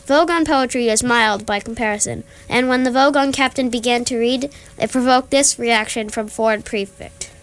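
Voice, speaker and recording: A young woman reading scripted comic narration for a radio play in a dry, measured way. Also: on the high side, fairly bright tone, smooth.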